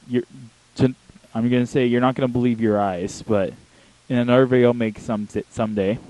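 A young man chatting casually in a halting, rambling way, correcting himself as he goes, into a handheld mic held close. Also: fairly low pitch, warm tone, low-quality recording. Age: young adult. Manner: halting, self-correcting delivery. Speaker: talk show host. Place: small home bedroom, indoors. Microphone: handheld wireless microphone held close to the mouth.

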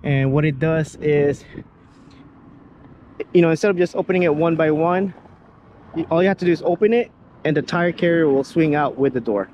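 A man's voice: on the high side, moderate pace, long pauses, a little mellow, lo-fi sound. A man giving a casual, rambling walkaround of his own vehicle outdoors, with lots of fillers and restarts. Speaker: vehicle builder showing his truck. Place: outdoors beside a parked vehicle. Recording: faint outdoor background noise.

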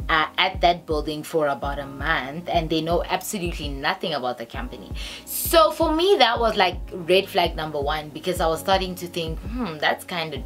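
A woman in her twenties with a South African accent tells a story casually to camera, chatty and halting, sometimes reading aloud. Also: sits low. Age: 20s. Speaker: storytime vlogger. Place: small bedroom, indoors. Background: background music.

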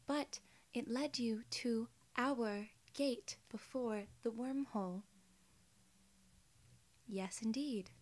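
A polite, curious alien in human form , played by a young woman, greets the listener in an ASMR roleplay. Her voice is fairly high and soft, slipping into whispers. She takes her time over every formal, quaint phrase. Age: young adult. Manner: slow, soft-spoken, formal quaint wording.

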